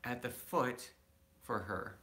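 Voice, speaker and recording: A man reading a children's story aloud as a father would, casually and haltingly, correcting himself as he goes. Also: medium pitch, pushed and bright.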